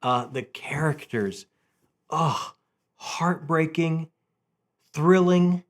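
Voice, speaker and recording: Higher-pitched man chatting keenly about books. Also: extremely slow. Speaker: book vlog host. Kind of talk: casual enthusiastic book chat.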